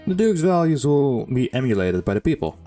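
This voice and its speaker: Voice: mid-range voice, sing-song, brisk, mellow, soft background music. A game streamer giving casual let's play commentary in a hesitant, rambling way.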